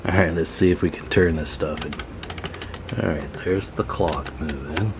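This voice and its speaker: A man's voice: a bass voice, normal speed, noisy background. A man hosting a radio repair video, giving casual, folksy commentary to viewers as he tinkers at his workbench. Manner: informal, folksy, talking to viewers while tinkering. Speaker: host of a radio repair channel. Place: at a workbench, indoors.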